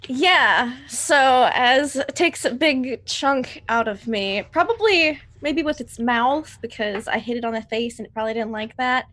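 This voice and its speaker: A woman's voice: sits high, a little slow, few pauses, a bit bright. A woman playing a tabletop roleplaying game, chatting casually and playfully as she describes her character's actions.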